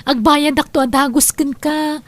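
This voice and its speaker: A woman's voice: high voice, fairly even intonation, measured pace, no echo. A woman acting as a pushy, wheedling wife who keeps borrowing money, in an audio drama. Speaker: lead character Amy.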